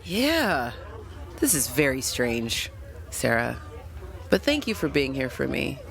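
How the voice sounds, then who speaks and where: A woman's voice: very deep voice, very animated, creaky, fairly bright tone, heavily acted. A woman role-playing a vain sci-fi doctor, now humbled and confused, speaking hesitantly in a tabletop game. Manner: hesitant, halting. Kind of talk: improvised tabletop role-play.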